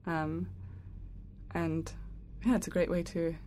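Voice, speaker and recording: A woman's voice: fairly low pitch, flat delivery, slow pace, dark tone. A young woman talking about founding her project, chatty with many fillers. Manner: chatty, filler-heavy with light laughs. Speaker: interview guest, project founder. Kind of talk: casual interview answers.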